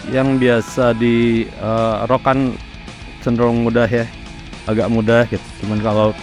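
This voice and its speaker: A man from Indonesia, a rock singer, casually recalling his career in an interview in a halting, reflective way. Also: mid-range voice, smooth. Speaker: rock vocalist being interviewed. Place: studio workroom.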